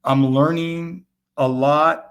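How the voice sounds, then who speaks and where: A man's voice: on the high side, fairly even intonation, slow, a little hiss or hum. A man in his fifties hosting a livestream, speaking earnestly and emphatically as he repeatedly addresses the fathers watching. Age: 50s. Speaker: host and fatherhood author. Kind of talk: motivational talk to viewers. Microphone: webcam.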